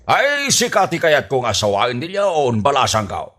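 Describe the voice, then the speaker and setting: A man's voice: medium pitch, huge pitch swings, normal speed, fairly bright tone. A man playing a strict, blustery retired soldier father in an Ilocano radio comedy drama, with an Ilocano accent and a bossy, emphatic delivery. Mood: sweet-talking.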